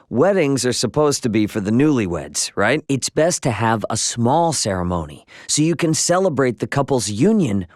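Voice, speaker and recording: An actor playing an easygoing, cocky, good-natured young hero in a video game, talking in a casual, teasing, slangy way. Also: male voice, mid-range voice, dry recording, clean studio sound, close to an everyday voice. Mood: relaxed, earnest. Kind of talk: English video game dialogue acting.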